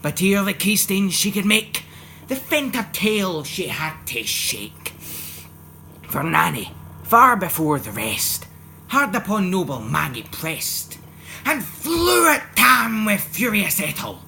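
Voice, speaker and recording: A man with a Scottish accent giving a theatrical, expressive dramatic recitation of a narrative poem in Scots. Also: high voice, slow pace, vocal fry, a little hiss or hum. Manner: theatrical, expressive, swinging from hushed to loud. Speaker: poetry reciter.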